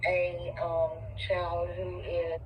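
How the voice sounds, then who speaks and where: A woman's voice: fairly low pitch, monotone, drawn-out words, soft background music, low-quality recording. A woman conducting a casual interview, hesitant and stumbling as she forms her questions.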